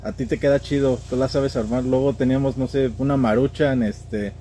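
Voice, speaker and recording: A man's voice: medium pitch, steady tone, fairly quick, dark tone, a little hiss or hum. A young man with a central Mexican accent, telling stories in a casual, slangy way as a podcast guest. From State of Mexico, Mexico. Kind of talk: casual conversational storytelling.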